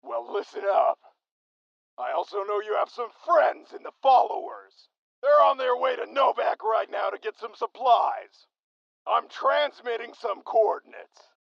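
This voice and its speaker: An actor playing an enraged, foul-mouthed raider gang boss who shouts cruel, vengeful threats in an audio drama. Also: male voice, very high-pitched, measured pace, gravelly, no room sound, lo-fi sound, exaggerated voice. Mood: threatening, vengeful. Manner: shouting, swearing, menacing. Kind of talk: post-apocalyptic audio drama acting.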